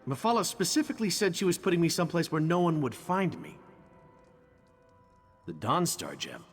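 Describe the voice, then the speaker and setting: Flippant wry knight for a fantasy game, highish voice, big echoey reverb. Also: male voice, very animated, moderate pace, breathy edge, exaggerated voice. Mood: explaining, exasperated. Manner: wry, glib, theatrical. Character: cocky, flippant male knight champion, rescued and sheepish. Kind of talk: fantasy video game voice acting. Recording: long reverb over background music.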